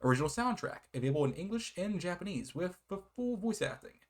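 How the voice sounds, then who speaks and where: A man's voice: high-pitched, big pitch swings, quick pace. Casual, rambling American man reviewing games. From United States. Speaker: video game reviewer. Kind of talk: casual rambling review, partly reading game blurbs.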